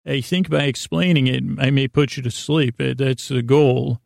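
A man with a creaky voice hosting a sleep podcast, talking in a meandering, halting monologue that circles back and repeats itself to lull listeners to sleep. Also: medium pitch, steady tone, dry recording, clean studio sound. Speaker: host of a bedtime-story sleep podcast.

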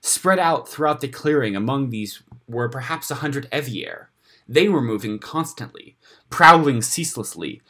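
A man narrates a fantasy story in a dramatic style. His voice sits at a middle pitch and has a husky, rough-edged texture. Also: a bit bright. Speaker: story reader on a podcast.

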